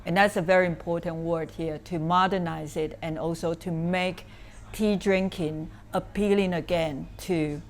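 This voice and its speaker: Lowish-voiced woman with a Taiwanese accent. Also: steady tone, measured pace. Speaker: interviewee, cafe owner. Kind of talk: informal explanatory interview talk.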